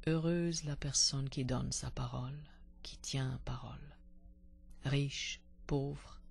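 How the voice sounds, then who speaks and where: A woman reciting poetry expressively, with deliberate dramatic phrasing and a husky, breathy voice that can sink toward a whisper. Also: extremely low pitch, fairly even intonation, a little mellow. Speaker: poetry reader.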